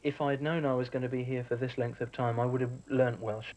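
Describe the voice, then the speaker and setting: A man from England talking in a reflective way, with his voice held very level and even. His pitch sits in the middle range for a man. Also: a little fast, rough recording. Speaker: art teacher. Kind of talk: documentary interview.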